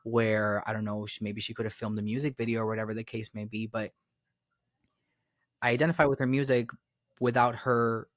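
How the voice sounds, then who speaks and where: A man's voice: fairly low pitch, little pitch movement, a little fast, dry recording, clean studio sound. A young man raised in Miami, a podcast guest talking casually and rambling with many fillers and repeated phrases. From Florida, raised in Miami. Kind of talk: casual conversation.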